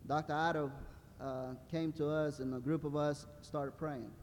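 A man's voice: flat delivery, moderate pace, darkish tone. A man in his thirties, originally from Brazil, giving a hesitant church testimony with many fillers and a wry humor. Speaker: mission trip translator. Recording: reverberant hall with low rumble.

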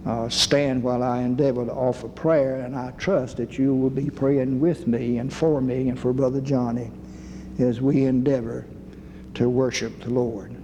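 A man leading a church service, speaking slowly with a low voice and old-fashioned scriptural phrasing. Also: little pitch movement, mellow, long reverb tail, a little hiss or hum, poor audio. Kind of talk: slow church sermon and prayer.

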